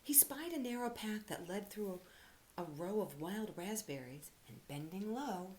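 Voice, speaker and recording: A woman giving an expressive read-aloud for children as a storyteller and teacher. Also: medium pitch, normal speed, a little hiss or hum.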